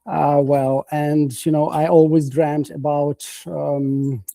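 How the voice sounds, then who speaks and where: A man's voice: fairly high pitch, little pitch movement, creaky, warm tone, dry recording. A young man from Ukraine with a Ukrainian accent, talking as a guest on a video call and stopping often to search for words. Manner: halting, many fillers and restarts. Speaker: guest coach. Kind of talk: casual interview chat.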